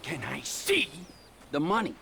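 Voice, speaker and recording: An erratic, menacing criminal in a game cutscene, high and gravelly with a sharp edge. His delivery is sarcastic and halting, swinging widely in pitch. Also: wildly swooping pitch, slight background noise, full character voice. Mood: suspicious, menacing. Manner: sarcastic, halting, emphatic repetition. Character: erratic, menacing, sarcastic male drug dealer. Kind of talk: video game cutscene acting.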